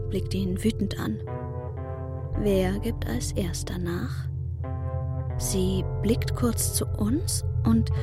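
Watchful teen girl, scripted audiobook narration, hushed, measured, unhurried pace, clear music bed. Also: medium pitch. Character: watchful teenage girl narrating a tense night. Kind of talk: first-person present-tense scripted narration.

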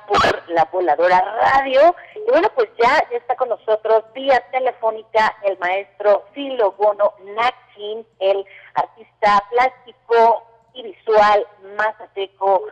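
A woman's voice: on the high side, dull audio. A woman hosting a community radio interview, chatty and full of fillers. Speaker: radio host. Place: radio studio.

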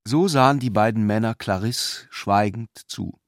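A man's voice: lowish voice, unhurried, no echo, studio quality. A man narrating a literary radio drama from a script.

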